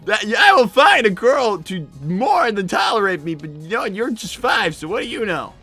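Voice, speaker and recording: A man's voice: high-pitched, sing-song, moderate pace, pushed and bright, breathy edge, soft background music, full character voice. A man voicing a flustered, defensive young cartoon fox boy with a cracking voice and a stammering, exasperated manner, in an improvised comedy livestream. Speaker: livestream co-host in character.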